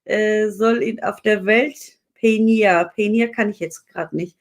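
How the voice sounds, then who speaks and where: A woman's voice: mid-range voice, takes it slowly. A woman from Dersim hosting a live online interview, chatting casually with many fillers and restarts. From Dersim, Turkey. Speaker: host.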